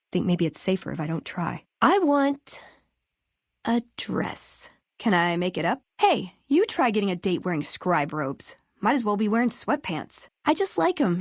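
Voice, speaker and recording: A woman's voice: mid-range voice, lively intonation, moderate pace, darkish tone, no room sound, clean studio sound. An American woman playing a wry, earnest young scribe in a video game, speaking in a conversational way. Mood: wistful, playful. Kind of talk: video game character dialogue.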